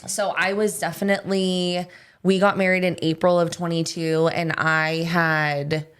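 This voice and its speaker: Low-voiced woman talking easygoing. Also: measured pace, clear, resonant tone. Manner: relaxed, lots of "like" fillers. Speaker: guest, esthetician. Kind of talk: casual interview answers. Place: podcast studio.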